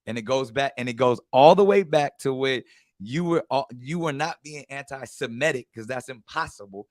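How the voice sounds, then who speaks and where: A man's voice: mid-range voice, moderate pace, no room sound, studio quality. A man hosting a casual talk show, speaking animatedly and often restarting his sentences. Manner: animated, many repeated starts. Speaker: host. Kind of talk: casual conversation with a guest.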